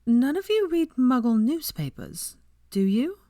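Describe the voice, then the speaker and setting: Narrator lady doing a flustered guarded young woman, tentative n curt, pitch jumps up, dry recording. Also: sing-song, a little slow, mellow, dry recording, full character voice.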